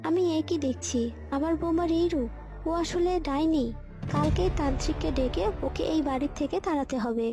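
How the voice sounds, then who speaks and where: An elderly cartoon mother-in-law, acted by a woman, has a very high voice. She dotes on her family and is easily alarmed. Music is loud behind her. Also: fairly quick. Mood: shocked, alarmed. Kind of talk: scripted cartoon dialogue.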